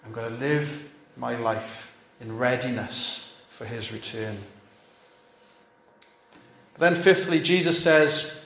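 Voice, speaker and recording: A man's voice: mid-range voice, slow, pauses often, a bit bright, reverberant, slight background noise. A fifty-year-old male pastor preaching a sermon, urging his listeners on in short, repeated, earnest phrases.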